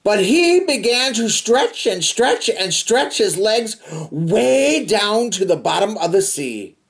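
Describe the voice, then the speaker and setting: A reader performs a picture book for children with a theatrical, playful touch. The pitch swings widely and the pace is slow. The narration mixes careful reading with informal, chatty reactions. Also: sing-song. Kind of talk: expressive read-aloud with chatty asides.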